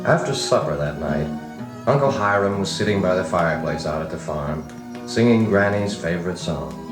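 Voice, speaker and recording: An actor playing a grown man recalling his farm boyhood, narrating a children's record drama in an unhurried, expressive way. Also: low-pitched. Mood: reflective storytelling. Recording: vintage 45 rpm record, music behind, roomy.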